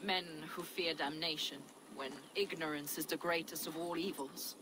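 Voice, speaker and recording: An actress playing a learned, zealous medieval mystic, measured and preacherly, in a dramatic video game. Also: female voice, low voice, fairly even intonation, a bit bright, a little hiss or hum, low-quality recording. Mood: fervent.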